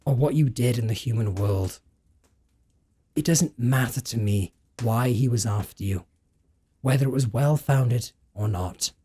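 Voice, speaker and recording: A man's voice: medium pitch, mellow, husky. A man playing a centuries-old vampire in a scripted audio roleplay, brooding and possessive, speaking in formal, earnest phrases straight to the listener. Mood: possessive, intense.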